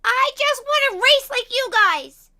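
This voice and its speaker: Cartoon kid racer: feisty, defiant girl, extremely high pitch, very sharp bright tone. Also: animated, a little slow, exaggerated voice. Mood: upset. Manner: sassy, defiant. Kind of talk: animated film table read.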